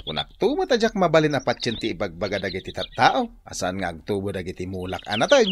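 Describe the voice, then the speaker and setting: A man playing a stubborn, hopeful farmer in an Ilocano radio comedy-drama, exclamatory with drawn-out endings, in an Ilocano accent. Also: fairly low pitch, wildly swooping pitch, background noise. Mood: cheerful, casual.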